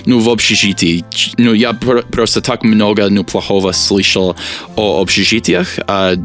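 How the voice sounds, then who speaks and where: Hesitant American man, low voice. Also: steady tone, moderate pace, fairly bright tone, soft background music. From United States. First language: English. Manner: hesitant, many fillers, searches for words. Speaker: guest, teacher. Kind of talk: casual interview answers.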